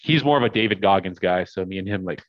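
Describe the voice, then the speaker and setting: A man's voice: deep voice, quick pace, lo-fi sound. A young man, a podcast guest, talking casually in a rambling, upbeat way with lots of fillers. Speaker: podcast guest, crypto investor.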